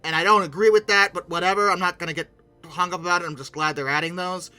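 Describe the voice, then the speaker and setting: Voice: mid-range voice, steady tone, quick pace, sharp tone. A game reviewer giving an informal, comedic video commentary with a theatrical, sarcastic delivery that leans toward mock outrage. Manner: sarcastic asides. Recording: music bed behind the voice. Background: music.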